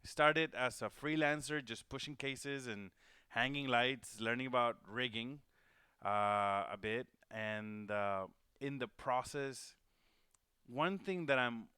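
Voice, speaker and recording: A man's voice: medium pitch, slow pace, sharp tone, clear, resonant tone, no room sound, clean studio sound. A man from Venezuela hosting a livestream, talking casually and rambling with many fillers and restarts. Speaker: host. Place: home studio.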